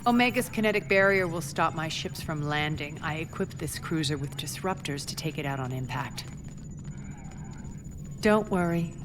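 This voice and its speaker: A woman playing a ruthless, imperious crime boss in a video game, commanding, sardonic and deliberate. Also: deep voice, lively intonation, background noise. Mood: dry, defiant. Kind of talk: dramatic video game acting.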